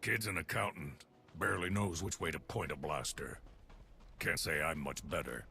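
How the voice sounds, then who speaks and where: Gruff, gravelly, low-voiced militia fighter. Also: male voice, normal speed, pushed and bright, full character voice. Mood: wry. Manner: blunt, sardonic, plain-spoken. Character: gruff, wry ex-foreman turned militia fighter. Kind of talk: video game cutscene acting.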